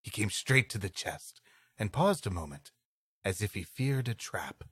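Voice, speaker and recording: A man with a bright, forceful voice and very animated intonation, reading like a theatrical storyteller and bantering as a podcast co-host. The sound is dry and close, with no room around it. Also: lowish voice, unhurried, husky, no room sound. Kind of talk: dramatic reading of an old story with comic banter.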